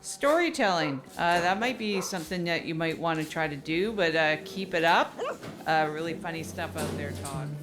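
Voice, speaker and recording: A woman voicing an encouraging, slightly awkward dog clowning teacher in an improvised comedy audio drama, halting and casual. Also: low-pitched, animated, background noise.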